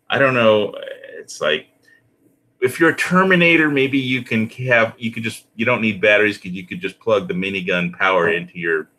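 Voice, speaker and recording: A man with a very deep yet bright, forceful voice talks off the cuff. His delivery is halting and rambling, circling back over phrases in a relaxed conversational style. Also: rough recording.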